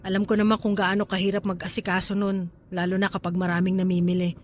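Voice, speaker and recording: A woman's voice: medium pitch, flat delivery, fast, faint music behind, lightly acted. An actress playing an anxious, evasive woman in a radio drama, answering hesitantly and deflecting questions. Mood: defensive. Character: evasive, anxious woman hiding pregnancy from partner. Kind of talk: Tagalog radio drama acting.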